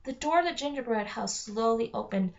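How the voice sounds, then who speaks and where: A woman's voice: a little high, animated, brisk, gravelly. A woman narrating a children's story aloud, reading from the text with an occasional stumble. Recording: dull lo-fi home recording.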